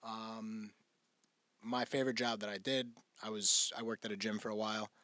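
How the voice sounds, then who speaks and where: Soft-spoken young man from New York. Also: fairly low pitch, level tone, normal speed, no echo. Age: 20s. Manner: soft-spoken, hesitant, many "um" and "you know". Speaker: guest, real estate agent. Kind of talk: casual interview chat.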